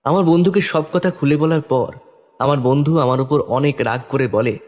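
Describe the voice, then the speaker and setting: A man's voice: fairly high pitch, moderate pace. A man narrating a scripted first-person horror story in a dramatic style. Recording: loud music under voice.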